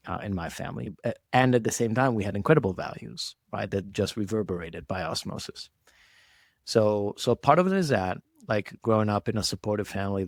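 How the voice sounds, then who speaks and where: Low, creaky-voiced man. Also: no echo, studio quality. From Israel. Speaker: podcast guest, entrepreneur. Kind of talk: reflective conversational interview answers.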